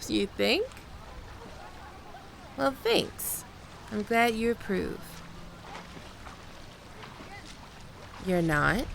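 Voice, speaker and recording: A woman's voice: medium pitch, gravelly, a bit bright, a little hiss or hum, exaggerated voice. A woman playing a flirtatious, playful holidaymaker in an ASMR roleplay, talking in a coy, teasing, conversational way. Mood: relaxed, playful. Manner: coy, teasing, giggly, unhurried with pauses.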